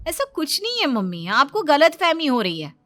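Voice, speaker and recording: A woman playing a patient young Indian daughter-in-law in a cartoon family story, politely reasoning in measured phrases. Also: high-pitched, huge pitch swings, sharp tone, dry recording, full character voice. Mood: gently protesting.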